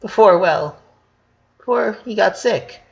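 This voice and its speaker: A man's voice: sits high, takes it slowly, creaky, muffled recording, pitched above the performer's own voice, exaggerated voice. A man voicing a bubbly, scatterbrained, sweet teenage sister who talks in hesitant valley-girl phrasing in a story reading. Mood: proud, excited.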